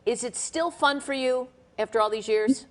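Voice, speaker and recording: A woman's voice: a little high, normal speed. A woman co-hosting a live radio interview, friendly and chatty, laughing easily. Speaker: radio co-host.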